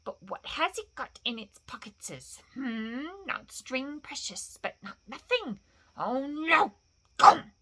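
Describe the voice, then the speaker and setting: A woman's voice: sits high, very animated, slow, pitched above the performer's own voice, exaggerated voice. A woman voicing a wretched, wicked male cave creature in a storybook, with a hissing, whispery voice that breaks into shrieks, muttering obsessively in broken grammar. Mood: sly, wheedling. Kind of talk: storybook character voice.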